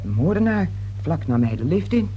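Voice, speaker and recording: Nervous woman, swooping pitch, soft-edged. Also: very animated, normal speed, dull audio. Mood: insistent, uneasy. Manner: hesitant, protesting, insistent. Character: nervous, defensive single woman under police questioning. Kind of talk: radio play acting. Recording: radio play recording with hiss and hum.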